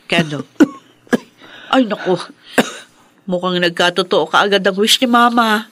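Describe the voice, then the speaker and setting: An actress playing a cheerful, bustling grown daughter, excited and coaxing, in a radio drama. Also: female voice, mid-range voice, slow pace. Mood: moved, glad.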